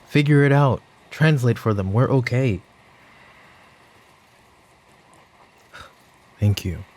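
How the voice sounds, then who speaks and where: Guy doing a tired brooding mafia boss for asmr roleplay, real low and gravelly, dark mellow tone. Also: slight background noise. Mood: weary, curt. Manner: weary, brooding, speaking in sighs and pauses. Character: weary, guilt-ridden mafia boss and worried father. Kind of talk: roleplay ASMR audio drama acting.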